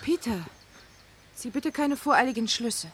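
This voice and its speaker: Anxious, animated married woman. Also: a little high, unhurried, slight background noise. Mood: defensive. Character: married woman Francesca, anxious, defensive, pleading. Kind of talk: German radio play acting.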